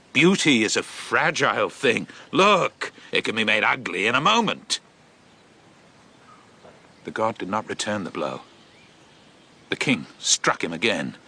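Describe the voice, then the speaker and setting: An actor playing a shaken eyewitness messenger from ancient Greece in a radio drama, telling of horror in an intense, deliberate, theatrical monologue. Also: male voice, fairly low pitch, big pitch swings, bright, forceful tone, breathy edge, full character voice. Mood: fierce, exultant, then grim, haunted.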